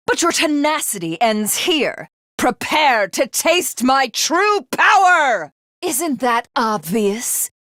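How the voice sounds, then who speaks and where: An actress voicing an arrogant, cruel video game villainess who craves godhood, with haughty, grandiose and menacing formal diction. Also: female voice, fairly high pitch, fairly bright tone, no echo, studio quality, full character voice. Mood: mocking menace.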